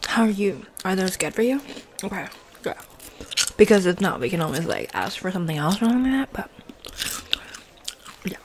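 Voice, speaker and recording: A young adult woman doing a casual ASMR role play, speaking softly and often slipping into a whisper with mouth sounds, close to a desktop mic. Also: mid-range voice, sing-song, brisk, pauses often. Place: bedroom, indoors. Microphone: close desktop condenser mic. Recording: close mic with noise, clicks.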